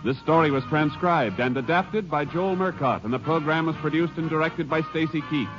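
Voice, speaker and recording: A man's voice: on the high side, normal speed, lo-fi sound. A male radio announcer reading credits in a formal broadcast style. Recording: old radio broadcast over music.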